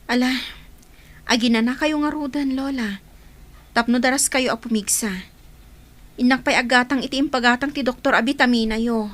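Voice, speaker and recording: A woman's voice: on the high side, normal speed, pauses often, a bit bright, lightly acted. A woman playing an affectionate, eager granddaughter in an Ilocano radio drama, with an Ilocano accent. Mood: caring, coaxing.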